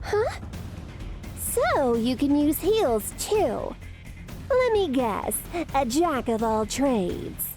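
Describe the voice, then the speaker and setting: A woman voicing a young female vampire boss in a manga dub, haughty yet curious and excitable, with expressive, cutesy delivery. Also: sits high, drawn-out words, faint music behind, exaggerated voice. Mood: smug, teasing. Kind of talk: anime-style manga dub acting.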